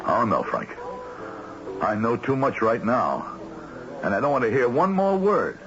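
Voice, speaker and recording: An actor playing an anxious, morally appalled family man in a radio drama, halting and agitated as he repeats himself. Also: mid-range voice, wildly swooping pitch, a bit bright. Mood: distressed. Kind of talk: 1970s radio drama acting. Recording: muffled old radio broadcast, music underneath.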